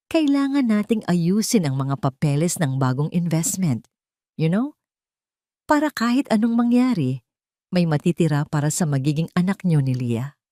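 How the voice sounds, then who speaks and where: A woman voicing an outwardly meek, soft-spoken wife in an audio drama, gentle and submissive with hidden resolve. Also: on the low side, no echo, studio quality. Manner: gentle, submissive, sweet-toned.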